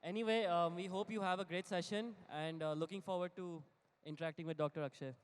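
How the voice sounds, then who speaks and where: A man's voice: high voice, fairly even intonation, fast, smooth. A man in his twenties with an Indian accent, speaking casually as a co-founder on a panel. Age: 20s. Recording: echoey hall over microphone.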